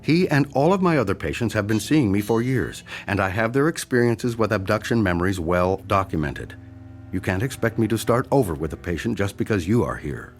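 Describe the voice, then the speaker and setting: An actor playing a self-important, defensive hypnotherapist, measured and formal, in a radio drama. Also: male voice, fairly low pitch, expressive, faint music behind, natural voice. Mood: indignant.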